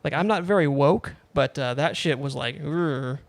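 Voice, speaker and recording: Male podcast host, slightly higher voice, loose rambling delivery, dry close-miked sound. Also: moderate pace, gravelly, no room sound. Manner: rambling, lots of "like" fillers, swears casually. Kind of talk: casual podcast chat.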